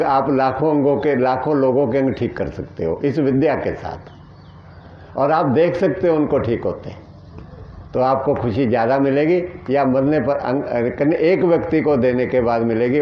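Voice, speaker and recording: A man's voice: medium pitch, dull audio, slight background noise. Earnest man teaching a natural health group. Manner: earnest, explaining plainly with rhetorical questions. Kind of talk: teaching talk answering questions.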